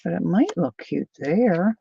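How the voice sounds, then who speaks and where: An older woman chatting casually to viewers while she crafts, thinking out loud as she works. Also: on the low side, very animated, unhurried, vocal fry, husky. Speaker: craft livestreamer. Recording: dull lo-fi dry sound.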